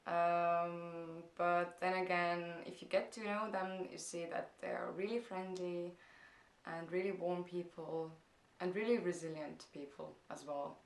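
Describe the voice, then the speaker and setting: A woman's voice: fairly low pitch, steady tone, roomy sound, rough recording. A young woman from Estonia with an Estonian accent, talking casually through viewer questions in her first vlog, with many hesitant fillers. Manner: hesitant, many "um"s, slow and thoughtful. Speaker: vlogger. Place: parents' house, indoors.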